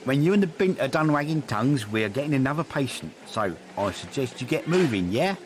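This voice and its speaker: A man's voice: mid-range voice, big pitch swings, fairly quick, slight background noise, lightly acted. An actor playing a sleazy, crude male orderly who teases and makes lewd jokes. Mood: smug leering. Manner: teasing, lewd, smug.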